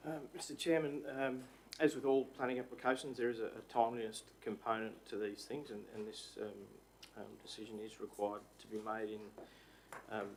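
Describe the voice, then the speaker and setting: A man, a council CEO, giving formal procedural advice in a halting way full of ums and restarts. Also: medium pitch, level tone, a little hiss or hum, poor audio. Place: council chamber.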